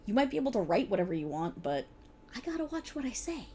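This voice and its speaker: A woman's voice: fairly high pitch, sing-song, quick pace, dark tone, a little hiss or hum. A woman playing a playful, exasperated voice actor bantering casually with her best friend in an ASMR roleplay. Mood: grudging, playful. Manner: sarcastic, chatty, casual, hesitant asides. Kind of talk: ASMR roleplay, one side of a conversation.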